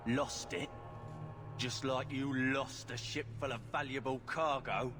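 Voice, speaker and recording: Man acting a taunting mid-pitched criminal villain. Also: steady tone, a little slow, over music, natural voice. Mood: accusing. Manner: taunting, threatening. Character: menacing, mocking male criminal henchman boss. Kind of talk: video game villain dialogue. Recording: clear ambient noise behind voice.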